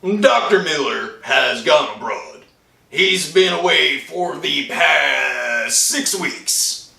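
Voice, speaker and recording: Man acting very creaky, sharp-voiced brusque gentleman. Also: fairly high pitch, lively intonation, slow, recorded from a distance, full character voice. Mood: dismissive. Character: brusque, dismissive man answering a telephone. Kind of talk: old-time radio drama acting. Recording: roomy echo, slightly off mic.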